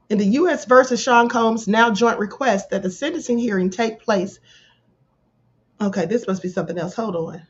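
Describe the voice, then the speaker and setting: A woman hosting a casual livestream, a little hoarse from a sore throat, greeting viewers in the chat by name. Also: mid-range voice, muffled recording. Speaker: livestream host.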